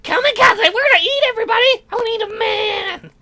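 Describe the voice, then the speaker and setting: A ghoulish, man-eating young lady cousin acted out by a man from a horror game. The voice is extremely high and bright, rattling along quickly with gleeful, theatrical eagerness. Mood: gleeful, eager.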